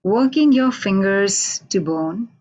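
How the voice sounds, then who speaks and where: Slow Indian-accented woman reading poetry. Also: mid-range voice, animated, a little mellow, low-quality recording. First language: Hindi. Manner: unhurried, halting, with many fillers. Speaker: poet. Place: radio station office.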